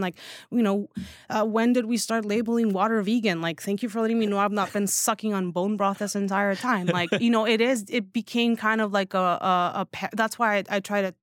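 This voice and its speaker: A woman's voice: mid-range voice, no room sound, studio quality. A woman in her thirties chatting informally and emphatically as an interview guest, peppering her sentences with "like". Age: 30s. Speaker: newsletter founder, podcast guest. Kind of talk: casual, animated interview chat. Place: studio.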